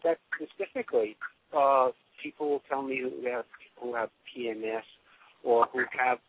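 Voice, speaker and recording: A man speaking as a doctor giving an informal testimonial on a conference call, rambling and often restarting his phrases. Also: medium pitch. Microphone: telephone. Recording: tinny phone line with clear noise.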